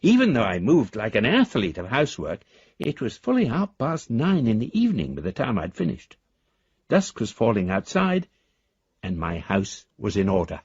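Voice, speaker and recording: A man's voice: mid-range voice, darkish tone, breathy edge, no room sound, lo-fi sound. An actor playing an eccentric, grandiose amateur philosopher, reading his letter in pompous, florid phrases for a radio drama.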